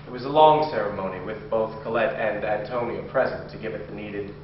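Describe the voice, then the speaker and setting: An actor playing a brooding vampire occultist in a theatrical monologue, speaking dramatically and haltingly, with long pauses. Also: male voice, fairly low pitch, close to an everyday voice. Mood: fervent, solemn. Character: brooding male vampire occultist confessing his dark transformation. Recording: very echoey room, distant dull mic, faint hiss.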